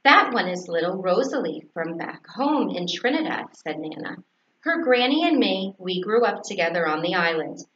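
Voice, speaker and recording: A woman's voice: medium pitch, big pitch swings, moderate pace, a bit bright. A woman reading a children's story aloud, narration and dialogue, as a teacher. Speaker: fourth grade teacher. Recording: echoey, dull, faintly noisy recording.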